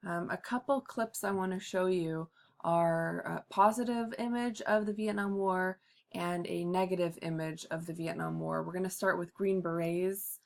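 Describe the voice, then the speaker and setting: A woman giving a class history presentation, halting often with ums and uhs. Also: on the low side, fairly even intonation, vocal fry. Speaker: student presenter.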